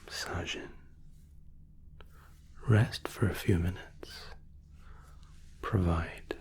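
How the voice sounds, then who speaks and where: Whispering man, very slow and low. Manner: gentle, soothing, unhurried, softly reassuring. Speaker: ASMR performer playing a spa therapist. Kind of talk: whispered ASMR spa roleplay.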